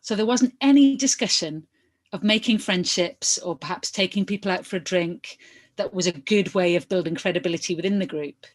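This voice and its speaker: A woman reading the part of an inquiry lawyer, putting formal questions in a measured, methodical way. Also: on the low side, close to an everyday voice. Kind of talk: verbatim reading of hearing questions.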